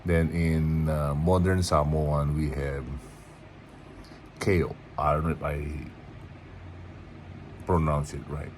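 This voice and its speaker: Very deep, slow man teaching. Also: a little hiss or hum, rough recording. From Philippines. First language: Tagalog. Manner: unhurried, word-by-word, spells words out. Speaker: language teacher. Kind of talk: casual vocabulary lesson.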